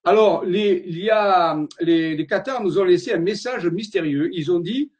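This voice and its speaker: A man's voice: sits high, normal speed, darkish tone. A man giving an earnest slide-show lecture, wandering from point to point and often repeating his words as he searches for them.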